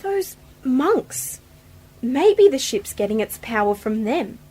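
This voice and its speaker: A woman acting as a bright, inquisitive young niece and companion in a radio drama, quick-witted and questioning. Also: high-pitched, very animated, husky, full character voice, rough recording. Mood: eager. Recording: noticeable background noise.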